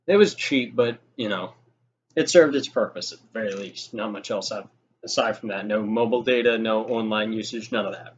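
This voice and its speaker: Young man rambling through a story, lo-fi audio. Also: mid-range voice. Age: young adult. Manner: rambling, informal and profane. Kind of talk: casual storytime monologue. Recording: dull lo-fi audio with noticeable noise.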